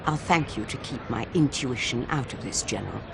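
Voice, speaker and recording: An actress playing a stern older British spy chief in a game cutscene, clipped, authoritative and dry. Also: female voice, very deep voice, expressive, fairly quick, vocal fry, heavily acted. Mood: firm, cold. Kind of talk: cinematic game cutscene acting. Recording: loud background noise.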